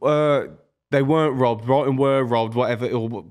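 A man's voice: mid-range voice, steady tone, normal speed, a little mellow. A young man debating football casually and emphatically on a podcast, speaking close to a studio microphone. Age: young adult. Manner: argumentative, emphatic. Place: small studio, indoors. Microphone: close podcast microphone on table stand.

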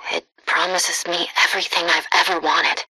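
An actress playing a wry, self-doubting young woman fighter in a video game, casual and quick. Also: fairly low pitch, level tone, pushed and bright, husky, no echo, exaggerated voice, rough recording. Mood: uneasy. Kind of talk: scripted video game dialogue.

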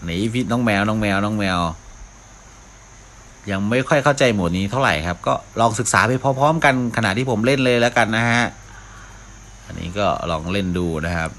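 A man hosts a gaming video with casual, off-the-cuff commentary. His voice is deep with a forceful, bright edge, and he mutters to himself as he plays. There is steady noise behind him. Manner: mouths sound effects, talks to himself while playing. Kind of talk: casual live gameplay commentary.

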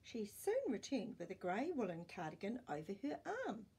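An older woman reading a picture book aloud expressively, pausing to put questions to her listeners. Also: mid-range voice, very animated, warm tone, slightly rough. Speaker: children's storytime reader. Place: small indoor room with bookshelves.

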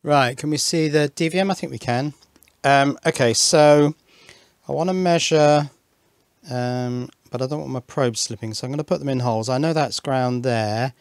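A man presents a hands-on electronics build in an informal way. He thinks aloud as he explains each step, with a mid-pitched voice in a dry, echo-free recording. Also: normal speed. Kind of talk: informal hands-on technical narration.

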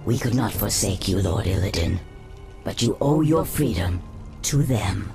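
A woman voicing a devoted, coolly scheming sea-serpent sorceress in a fantasy game, in measured, formal speech. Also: extremely low pitch, expressive, vocal fry, exaggerated voice. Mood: watchful. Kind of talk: scripted fantasy game acting. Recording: music under the voice.

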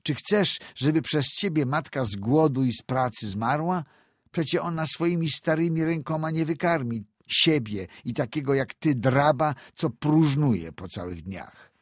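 A man's voice: lowish voice, sing-song, measured pace, hardly any pauses, dry recording, clean studio sound. A man voicing a stern old village man who scolds a boy in a storybook reading, speaking raised and reproachful. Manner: raised, forceful, reproachful delivery.

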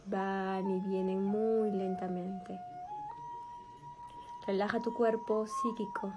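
Slow, soothing woman guiding a meditation. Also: mid-range voice, flat delivery. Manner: soothing, gentle, with spaced short phrases. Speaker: meditation guide. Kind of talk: guided meditation narration. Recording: background music with flute. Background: meditation music with flute.

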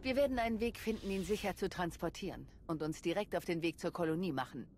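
A woman's voice: on the low side, fast talker, darkish tone, a little hiss or hum, close to an everyday voice. An actress playing a composed, resolute female commander in a game, speaking with controlled assurance. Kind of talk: dramatic game voice acting.

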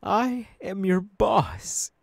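A young supervillain boss , acted by a man, bullies his underlings in an ASMR roleplay. He is menacing and deliberate, with a husky, breathy voice and slow, widely swinging delivery, recorded close and dry. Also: high-pitched, lively intonation, no room sound, exaggerated voice. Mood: threatening anger. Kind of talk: ASMR audio roleplay monologue.

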